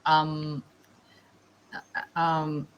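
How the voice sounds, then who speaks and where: A woman in her thirties from South Korea with a Korean accent, an English learner talking hesitantly on a video call with many pauses and fillers. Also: sits low, completely flat delivery, dull audio, slight background noise. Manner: hesitant, halting with many ums. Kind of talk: casual online lesson chat.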